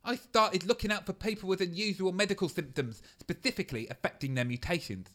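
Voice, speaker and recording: A self-important scientist, played by a man in a comedy audio drama. He speaks in a high, bright voice and lectures everyone pompously. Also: fast. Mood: lecturing. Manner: pompous, explanatory.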